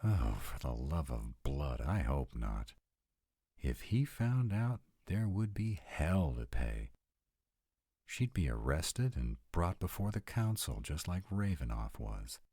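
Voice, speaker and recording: A man acts out a young male vampire, sardonic but troubled, in an intimate audio roleplay. His voice sits extremely deep, husky and mellow. He confides in a casual, sweary, hesitant way. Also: big pitch swings, slow, no room sound, clean studio sound, full character voice. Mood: tense, dismayed.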